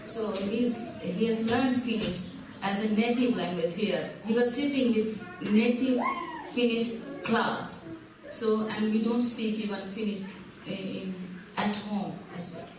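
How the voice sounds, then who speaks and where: A woman's voice: medium pitch, unhurried, a crowd in the background. A mother from India speaking up from the audience, reassuring other parents in a chatty way. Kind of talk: audience remark. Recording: echoey hall, distant mic, noise.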